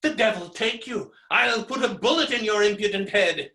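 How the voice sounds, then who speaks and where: A man playing a pompous, belligerent gentleman creditor in a theatrical staged reading of a farce, blustering and combative. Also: sits high, expressive, normal speed, full character voice. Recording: home recording over video call.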